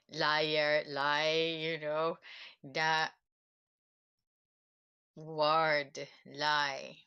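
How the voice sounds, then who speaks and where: A woman with a Japanese accent, a native Japanese speaker, giving a reflective solo livestream talk in halting English, pausing to search for words. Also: sits low, sharp tone, clear, resonant tone. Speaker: self-help channel host.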